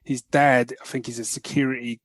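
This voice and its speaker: A man co-hosting a podcast, telling stories in an animated, slangy, casual review style. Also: mid-range voice, fairly quick, no echo, studio quality. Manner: animated storytelling with slangy asides. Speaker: podcast co-host. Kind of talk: casual chat, film review.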